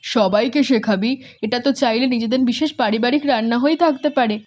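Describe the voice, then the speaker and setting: A woman voicing a gentle, hospitable village mother in an animated cartoon. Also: fairly high pitch, quick pace, lightly acted. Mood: motherly.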